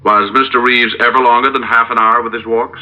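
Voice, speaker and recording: An actor playing a calm, dogged, weary private detective in an old radio drama, telling his case in terse first person. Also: mid-range voice, a little fast. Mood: probing. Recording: muffled old radio recording, music behind.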